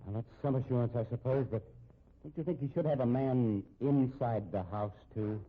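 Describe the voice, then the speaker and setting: An actor playing an anxious, respectable American husband in a 1950s radio drama, speaking in a measured, pointed way. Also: male voice, mid-range voice, lightly acted. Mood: nervously guarded. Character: respectable, anxious, shrewd husband held hostage at home. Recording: muffled, tinny old radio recording.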